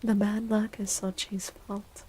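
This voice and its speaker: A woman streaming soft-spoken ASMR tarot readings in a breathy half-whisper, gentle and encouraging. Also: medium pitch, flat delivery, measured pace, slight background noise.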